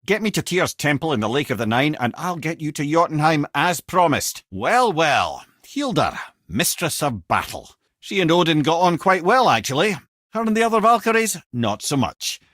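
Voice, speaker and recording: Witty old sage, Scottish accent, avuncular storyteller, very animated pitch, dry close recording, video game dialogue. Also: male voice, moderate pace, dry recording. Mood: wry, teasing. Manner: wry, loquacious storyteller, avuncular. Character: witty, wry, talking severed head of an old sage.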